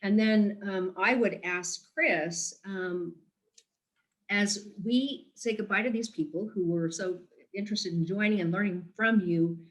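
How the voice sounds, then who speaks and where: A woman's voice: medium pitch, rough recording. A woman moderating a webinar over a video call, steering toward the final question. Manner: organizing, steering to close.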